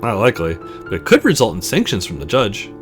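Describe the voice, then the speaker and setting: A man's voice: on the low side, huge pitch swings, a little fast, faint music behind, pitched below the performer's own voice. A man voicing an upbeat male legal advisor in a visual novel, formal and explanatory. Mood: reassuring.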